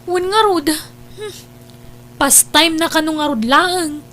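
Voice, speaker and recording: A woman's voice: extremely high pitch, takes it slowly, bright, forceful tone, faint music behind, exaggerated voice. A woman with an Ilocano accent playing an exasperated, scolding older sister in an audio drama. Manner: nagging, reproachful.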